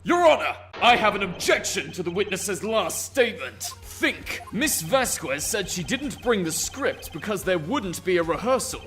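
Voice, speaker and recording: An actor plays an indignant male lawyer in a courtroom game drama. His voice is high and swoops widely as he argues. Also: very animated, normal speed, sharp tone, soft background music, full character voice. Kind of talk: video game fandub voice acting.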